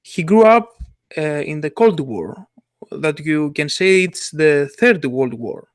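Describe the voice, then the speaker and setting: Man, casual chat, halting, restarts, fairly high voice, dry recording. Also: lively intonation, no echo. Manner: halting, many restarts and fillers.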